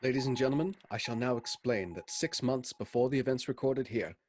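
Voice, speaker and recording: A man playing an earnest gentleman doctor in a radio play, speaking formally. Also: medium pitch, a little fast, breathy edge, lightly acted.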